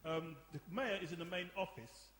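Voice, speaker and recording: A man narrating scene directions for a radio play in a halting delivery. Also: fairly high pitch, a bit bright, a little hiss or hum. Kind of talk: scripted narration of stage directions. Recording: echoey hall, music behind.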